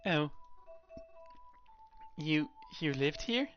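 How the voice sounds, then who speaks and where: Actress as an earnest young woman, low voice, hesitant, gentle, stammering, dull recording, audio drama. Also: expressive, extremely slow, soft background music, full character voice. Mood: tentative, relieved.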